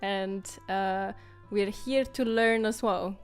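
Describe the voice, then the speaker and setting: A woman's voice: medium pitch, steady tone, slow, clean tone, faint music behind. A woman from Italy, a Venetian speaker, co-hosting a casual stream and chatting freely. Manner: chatty, inviting others to share. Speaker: co-host. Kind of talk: casual chat.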